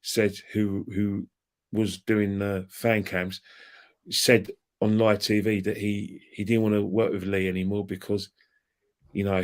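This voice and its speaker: A man, ranting in a heated casual chat about football fandom and trading colourful insults. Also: on the low side. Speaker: fan channel guest.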